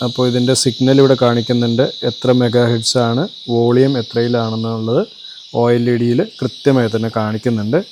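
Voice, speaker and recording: A man's voice: medium pitch, flat delivery, fairly quick, background noise. A man with a Malayali accent from Kerala presents an electronics demo, explaining things informally, step by step. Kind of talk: informal product demo and tutorial.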